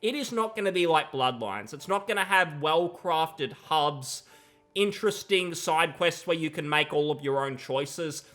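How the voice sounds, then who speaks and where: A man's voice: on the high side, animated, unhurried, a bit bright, soft background music. A young man giving critical, disapproving gaming commentary with emphasis, speaking close to a desk microphone in a room at home. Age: young adult. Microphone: close desk microphone, headset on.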